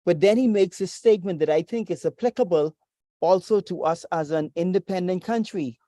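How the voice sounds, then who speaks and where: A preacher from the Bahamas, teaching in a measured, deliberate way over a video call. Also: mid-range voice, warm tone, no echo. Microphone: video call with screen share.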